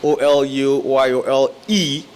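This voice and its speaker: A man from Nigeria talks easily in a casual interview as a guest musician. His voice sits at an ordinary middle pitch. He chuckles often and strings his thoughts together with fillers and restarts. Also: steady tone, measured pace, slight background noise.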